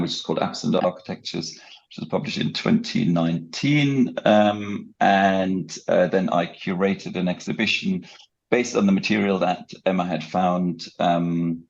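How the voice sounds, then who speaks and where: Deep, gravelly middle-aged German man. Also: highs cut off. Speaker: guest, academic researcher and book editor. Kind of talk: conversational podcast interview account.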